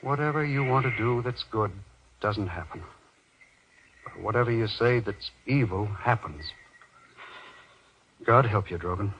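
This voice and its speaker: A man's voice: on the low side, unhurried, long pauses, very noisy, natural voice. A man playing an earnest, insistent doctor in golden age radio horror acting, commanding and theatrical. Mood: grave. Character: earnest, urgent doctor pressing a frightened man. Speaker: actor in a radio horror drama. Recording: dull lo-fi old radio recording.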